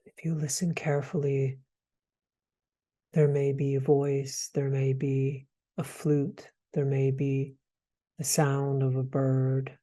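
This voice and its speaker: A man guides a meditation in a dark, relaxed voice. His delivery is very slow and gentle, with soft pauses between hesitant phrases. The melody stays quite flat and even throughout. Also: mid-range voice.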